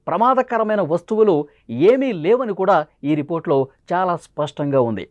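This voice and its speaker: A man's voice: sits high, darkish tone, dry recording, dull audio. A man presenting dramatic news commentary, emphatic and repeating phrases for stress.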